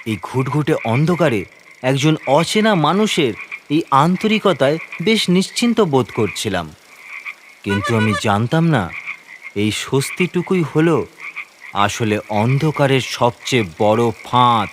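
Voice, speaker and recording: A man's voice: mid-range voice, big pitch swings, a little slow. A man giving dramatic, suspenseful first-person narration of a scripted horror story. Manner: theatrical, suspenseful delivery. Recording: faint ambient noise, croaking frogs behind.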